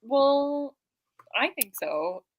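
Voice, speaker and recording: A woman's voice: high-pitched, very animated, drawn-out words, narrow, tinny audio. A young woman co-hosting a casual book discussion in an informal, jokey way. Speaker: co-host of a book readalong live show.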